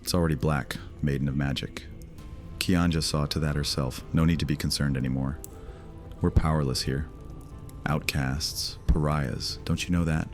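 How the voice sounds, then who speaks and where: Guy doing a super deep brooding vampire outcast, sardonic and defiant, roleplay audio, soft music under it. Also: fairly even intonation, moderate pace. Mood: bitter, brooding. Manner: sardonic, hesitant fillers turning defiant. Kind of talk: dramatic fantasy audio roleplay.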